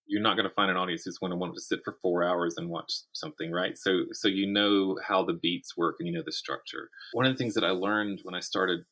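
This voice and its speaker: Male interview guest, writer type, very low voice, explanatory, works out thoughts aloud. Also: steady tone, brisk, few pauses, pushed and bright. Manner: explanatory, thinks aloud with self-corrections. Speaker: guest author and audio drama writer. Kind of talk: conversational interview about the writing craft.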